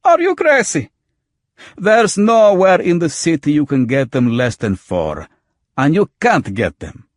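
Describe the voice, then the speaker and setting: A man's voice: on the high side, very animated, unhurried, dry recording, studio quality, pitched above the performer's own voice. A man voicing a pushy, amiable, corrupt cop who wheedles and haggles theatrically in an audiobook. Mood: indignant, haggling. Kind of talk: acted audiobook dialogue.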